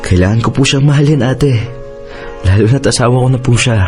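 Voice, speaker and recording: A man's voice: medium pitch, fairly quick, a little mellow, close to an everyday voice. A man with a Filipino accent playing a deferential, troubled younger brother in a radio drama, hesitant and pleading. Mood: uneasy, resigned. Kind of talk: Tagalog radio drama acting. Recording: music bed under voice.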